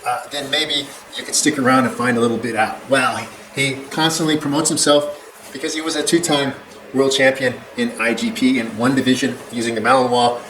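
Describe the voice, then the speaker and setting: A man's voice: mid-range voice, level tone, a little fast, a bit bright. Opinionated older man in an echoey room. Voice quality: opinionated, blunt, rambling with chuckles. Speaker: dog trainer. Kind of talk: reaction commentary monologue. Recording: echoey room with faint background hum.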